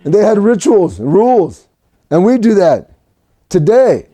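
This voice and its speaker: A man from Mexico preaching a sermon for an online service, animated and rising to shouts at his peaks. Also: high voice, measured pace. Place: home recording for a virtual service.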